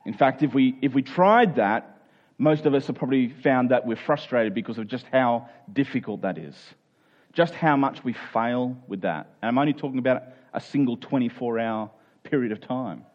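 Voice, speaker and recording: A man's voice: mid-range voice, moderate pace, muffled recording. A man preaching a conversational, expository Bible sermon, explaining step by step, repeating key phrases and checking in with his listeners.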